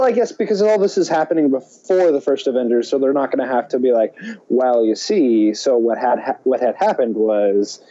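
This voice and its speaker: A man chatting casually as a podcast co-host, with a mellow, mid-pitched voice. He talks haltingly and circles back to restart his thoughts, on a slightly muffled recording. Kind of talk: casual film chat.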